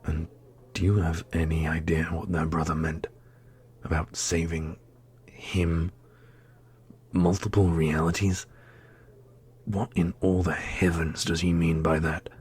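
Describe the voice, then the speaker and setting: A gentle dark prince voiced by a man with a low, husky voice. He speaks slowly and thoughtfully, as a devoted lover in a fantasy ASMR roleplay. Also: little pitch movement, full character voice. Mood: puzzled.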